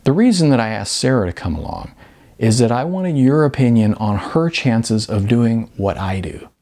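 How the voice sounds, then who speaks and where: A man's voice: mid-range voice, big pitch swings, moderate pace, mellow. A man voicing an easygoing middle-aged male model who talks in a wry, joking, casual way in an audiobook.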